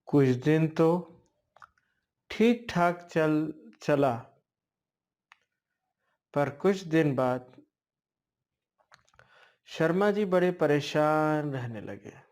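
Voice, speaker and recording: Male horror narrator, slightly higher-pitched, very slow storytelling with halting phrasing. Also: darkish tone. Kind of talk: slow spoken ghost storytelling.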